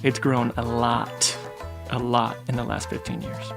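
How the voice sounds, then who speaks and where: A man from Missouri talks about his band in a casual, halting way, with many uhs. His voice stays flat and mid-pitched, and band music plays clearly underneath. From Missouri, United States. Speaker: bandleader and guitarist. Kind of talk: interview answers.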